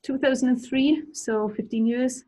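A woman in her fifties from South Wales with a South Welsh accent, an accountant answering interview questions over a video call, pausing often with ums. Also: high voice, steady tone, mellow. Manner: hesitant, with frequent ums. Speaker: accountant and practice owner.

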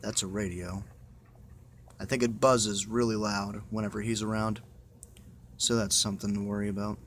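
A man's voice: lowish voice, level tone, normal speed, a bit bright. A man giving casual, muttered let's-play commentary with exasperation and plenty of swearing. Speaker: gamer playing a horror game. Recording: noisy amateur phone screen recording.

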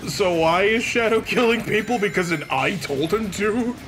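A man with a fairly high voice chats casually through a video game. He riffs sarcastically and slips into mock dialogue, with game music clearly playing behind him. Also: lively intonation, moderate pace, a bit bright. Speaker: let's play commentator. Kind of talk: casual joking game commentary.

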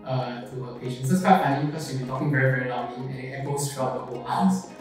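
A man's voice: mid-range voice, very fast, recorded from a distance. A man, chatting casually as an interview guest. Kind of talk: casual chat. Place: bare small room, indoors. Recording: echoey room, music behind.

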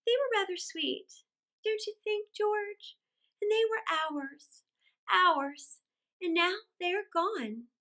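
A woman voicing a gentle, placid and fond lady mother in an audiobook, tender and wistful. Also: very high voice, big pitch swings, slow, few pauses, pitched above the performer's own voice, exaggerated voice. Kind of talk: audiobook character voice.

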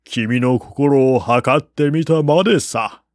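A man voicing a blunt, unruffled, aloof strategist in an audiobook, gruff and curt with drawn-out words. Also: mid-range voice, a little mellow, no echo, clean studio sound, close to an everyday voice. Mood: teasing. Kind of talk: audiobook character voice.

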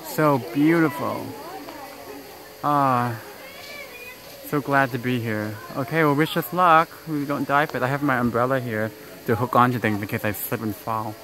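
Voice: mid-range voice, normal speed, a bit bright. A travel vlogger narrating casually in short, exclamatory remarks into a handheld device. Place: outdoors on a mountain temple stairway and summit. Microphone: handheld phone or camera held by speaker. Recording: noisy outdoor handheld recording, insect ambience.

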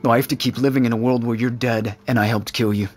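An actor playing an exasperated but protective friend in an audio drama, blunt, profane and insistent. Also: male voice, mid-range voice, fairly even intonation, fast talker, darkish tone, soft background music, lightly acted. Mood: pained. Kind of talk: naturalistic audio drama acting.

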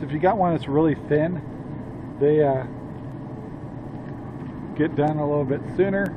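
A man's voice: fairly high pitch, muffled recording, noisy background. An older man hosting a casual cooking vlog, talking in a folksy, rambling way with plenty of "uh"s and little chuckles. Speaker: cooking channel host. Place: outdoor yard beside a grill, and indoors in a cluttered room.

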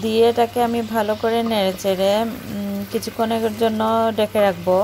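A woman's voice: mid-range voice, fairly even intonation, fairly quick, dark tone. A woman with a Bangladeshi accent gives casual voice-over narration as a home cooking vlogger. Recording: clear background noise, home recording.

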